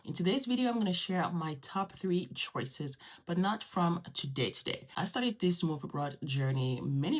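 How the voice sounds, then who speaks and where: A woman whose first language is French talks casually to her viewers in a low, husky voice. She is chatty and animated and often breaks into laughter. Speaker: vlogger. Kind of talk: casual chat to camera.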